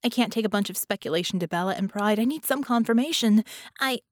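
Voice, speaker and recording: A woman voicing an intense, quick-thinking young female magician in an audiobook, talking urgently as if thinking aloud. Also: mid-range voice, dry recording, studio quality, voice pushed up, lightly acted. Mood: excited, urgent. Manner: excited, urgent, thinking out loud. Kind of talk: audiobook character voice.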